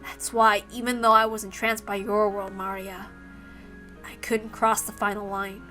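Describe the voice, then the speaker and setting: A woman's voice: medium pitch, flat delivery, normal speed, sharp tone, close to an everyday voice. A woman voicing a lonely, wistful young witch apprentice from a visual novel in a confessional way. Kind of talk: visual novel character read aloud. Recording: music behind the voice.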